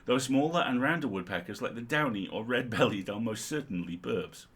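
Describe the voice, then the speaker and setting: A middle-aged man with a slightly husky, mid-pitched voice reads comic material aloud on a livestream. He is wry and playful, dropping in casual, sweary asides as he goes. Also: lively intonation, brisk, fairly bright tone. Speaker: livestream co-host reading aloud. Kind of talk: reading a humorous article aloud with casual asides.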